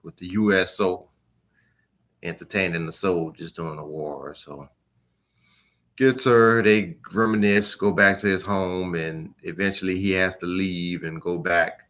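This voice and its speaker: A man talking casually and informally as a book reviewer, in a halting, rambling way that trails off at the ends of phrases. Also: low-pitched, fairly bright tone.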